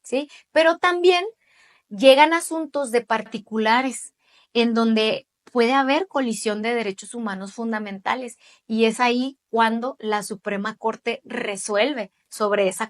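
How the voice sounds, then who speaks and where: Woman, late 30s, Mexican accent, slightly high voice, emphatic explainer in an interview. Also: unhurried. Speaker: interviewee, judicial candidate.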